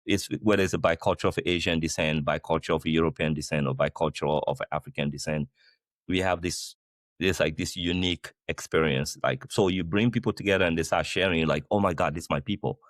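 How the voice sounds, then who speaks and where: A man from Guinea speaks as a podcast guest with a very deep voice. He talks animatedly, rephrasing as he goes and leaning on "like". The recording is dry, with no room sound. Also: brisk, no echo. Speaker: podcast guest, company founder. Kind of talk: casual conversational interview. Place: the Netherlands.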